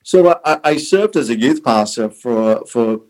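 This is a middle-aged man with an Australian accent, answering a podcast host's questions. His pitch sits in the middle. He tells his stories at an easy pace, stumbling over repeated words as he goes. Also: animated, measured pace. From born in South Africa, raised in Australia. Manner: repeats and restarts words, warm storytelling. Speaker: Salvation Army officer, podcast guest. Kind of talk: conversational interview answers.

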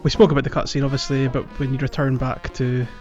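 A man hosts a relaxed gaming podcast in a medium-pitched voice. He talks casually and jokily, chuckling easily. The audio is slightly lo-fi, with soft music behind him. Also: fast. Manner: jokey, prone to chuckling. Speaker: podcast host.

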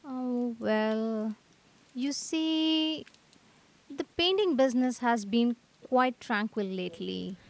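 A woman's voice: a little high, slow pace, vocal fry. A woman playing an anxious, hesitant young male painter in a radio comedy. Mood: uncertain. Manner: hesitant, fretful, period slang.

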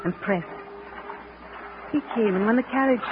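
An actress playing a devoted, anxious older aunt, recounting events in an old radio drama. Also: female voice, medium pitch, moderate pace, lightly acted. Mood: wistful. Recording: tinny old radio broadcast, music behind.

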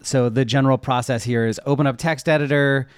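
A man's voice: medium pitch, fairly even intonation, a little fast, clean tone, dry recording, studio quality. A man giving casual interview answers in an informal, rambling way, often interrupting himself. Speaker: interview guest, web developer and newsletter writer.

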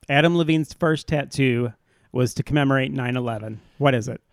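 A man's voice: mid-range voice, normal speed, creaky, no room sound, clean studio sound. A man hosting a comedy podcast, quizzing guests in a casual, bantering style.

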